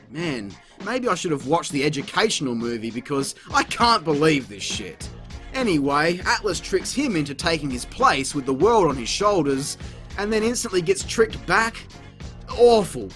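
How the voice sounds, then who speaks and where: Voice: medium pitch, fairly bright tone. A reviewer giving scripted comedic narration in a sarcastic, exasperated, sweary and theatrical manner. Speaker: YouTube video reviewer.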